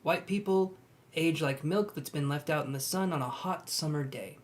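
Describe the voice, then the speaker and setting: A young man reading tarot on a live stream, chatting and gently reassuring his viewers. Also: a little high, moderate pace, slight background noise. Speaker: tarot reader.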